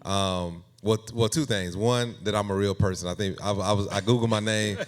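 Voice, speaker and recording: A man with a deep, resonant voice talks casually as an interview guest. He works through his thoughts as he goes, with a halting, conversational delivery. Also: fairly even intonation. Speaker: guest AI music creator.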